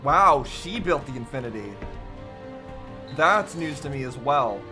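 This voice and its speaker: A man's voice: mid-range voice, lively intonation, measured pace, over music. A man giving casual, running reaction commentary on video game cutscenes.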